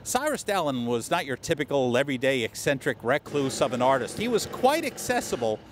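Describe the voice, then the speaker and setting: A man's voice: medium pitch, big pitch swings, fairly quick. A man in his fifties presenting a scripted local news piece to camera outdoors, speaking into a handheld microphone. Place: outdoors on a lawn in a town. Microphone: handheld reporter microphone. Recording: faint outdoor street noise.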